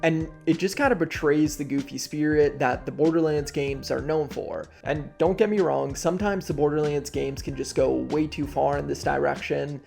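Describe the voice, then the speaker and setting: A man hosts a video essay with candid, casual commentary. His voice sits slightly high and has heavy vocal fry, and he talks haltingly and informally over faint music. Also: a little mellow.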